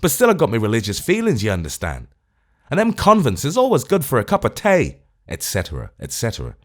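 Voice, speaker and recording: A man's voice: mid-range voice, wildly swooping pitch, brisk, a bit bright, pitched above the performer's own voice. A man voicing a self-pitying, middle-aged Irish tramp who whines in an Irish accent. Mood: whining.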